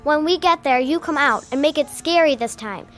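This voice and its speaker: A woman's voice: high-pitched, moderate pace, faint music behind, close to an everyday voice. A performer playing a spirited eleven-year-old girl in a radio drama, eager and bossy.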